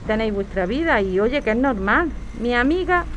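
Woman playing a high-voiced lonely older mother. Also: lively intonation, normal speed, a bit bright, slight background noise. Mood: wistful, lonely. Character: lonely older mother, widowed, affectionate, easygoing. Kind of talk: naturalistic audio drama dialogue.

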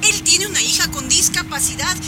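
Anxious, emphatic local woman for radio drama. High pitch, plaintive, music bed underneath. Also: brisk. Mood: urgent, pleading. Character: anxious, excitable neighbourhood woman, God-fearing and eager to help. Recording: music playing behind.

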